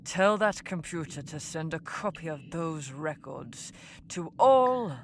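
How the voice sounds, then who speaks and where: Woman acting a slow, imperious vampire mistress. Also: sits low, expressive, a little hiss or hum, heavily acted. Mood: commanding. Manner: commanding, deliberate. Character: imperious, stern vampire mistress who commands obedience. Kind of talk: fantasy audio drama acting.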